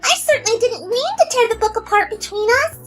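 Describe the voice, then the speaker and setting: A woman voicing a bubbly, excitable pink party pony mare in a fan story reading, squeaky and bouncy. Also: brisk, soft background music. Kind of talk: fanfic audiobook reading.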